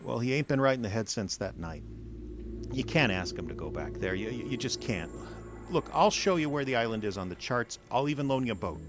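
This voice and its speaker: A man's voice: medium pitch, expressive, fairly quick, background noise, natural voice. A man playing a worried, kindly small-town bartender in a horror audio drama, folksy and a little hesitant. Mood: wary, pleading.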